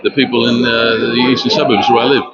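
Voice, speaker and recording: An older man born in Romania, giving an earnest street interview at an outdoor protest, speaking haltingly with many fillers. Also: medium pitch, steady tone, over loud music. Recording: dull lo-fi with loud outdoor noise.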